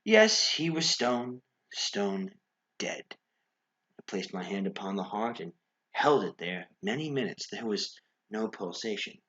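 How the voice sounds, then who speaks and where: A man playing an unhinged, cunning murderer in a gothic horror monologue, with a theatrical, nervous, halting delivery. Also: fairly low pitch, very animated, a bit bright, dull audio, voice pushed down. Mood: cold, secretive. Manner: theatrical, swooping, halting with stammered repeats. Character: unhinged murderer confessing, cunning, nervous, increasingly frantic madman. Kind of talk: dramatic horror storytelling, classic gothic monologue.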